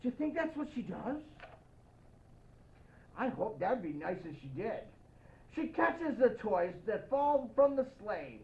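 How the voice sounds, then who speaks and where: High, slow, expressive woman reading a storybook. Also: lo-fi sound. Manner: playful, expressive, addressing young listeners. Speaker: storybook reader in the persona of Santa. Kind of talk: children's picture-book read-aloud with playful asides.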